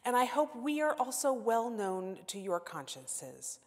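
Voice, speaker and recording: A woman's voice: on the high side, a little slow. A woman in her forties preaching as guest pastor at a church pulpit, talking expressively and gesturing. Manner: warm expressive, gestures while speaking. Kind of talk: sermon and scripture reading. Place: church sanctuary at wooden pulpit. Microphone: gooseneck pulpit mic. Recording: long hall reverb.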